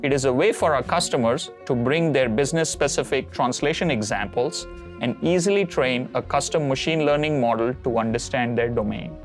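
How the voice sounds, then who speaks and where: A man in his forties whose first language is Konkani, presenting a cloud product demo in a steady, explanatory way with occasional fillers. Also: mid-range voice, a little fast, soft background music. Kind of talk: scripted tech demo narration. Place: studio set with map backdrop.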